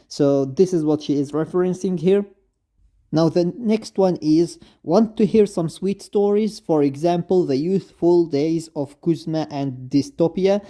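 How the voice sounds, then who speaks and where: Very dark, mellow-voiced halting video commentator. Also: medium pitch. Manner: halting, repetitive, often says basically and actually. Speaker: video host, game commentator. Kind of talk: informal explanatory commentary on game voice lines.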